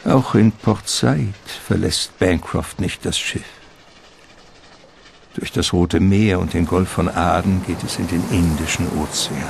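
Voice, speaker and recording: An actor playing the ominous, dramatic narrator of a gothic radio drama. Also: male voice, low-pitched, a little slow, gravelly, slight background noise. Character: ominous male storyteller of a gothic vampire tale. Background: music.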